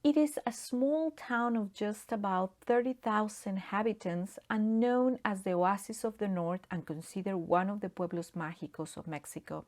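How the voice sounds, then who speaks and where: A woman's voice: mid-range voice, normal speed, few pauses, a little mellow. Woman host reading scripted narration. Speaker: interviewer and host. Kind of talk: scripted narration, interview questions.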